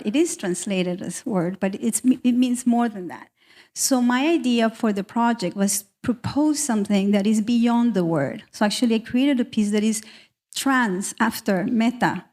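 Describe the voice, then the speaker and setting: A woman's voice: on the high side, a little mellow. Woman speaking candidly on a panel. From South America. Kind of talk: personal storytelling on a panel.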